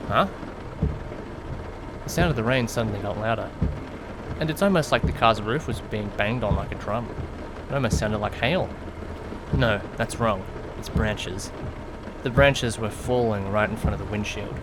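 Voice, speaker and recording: A man's voice: normal speed, long pauses, natural voice. A man playing an awkward, easily flustered young driver who narrates an audio drama in the first person, musing conversationally to himself. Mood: alarmed. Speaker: narrator and lead character. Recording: noisy, rain and car rumble behind. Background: rain and vehicle sounds.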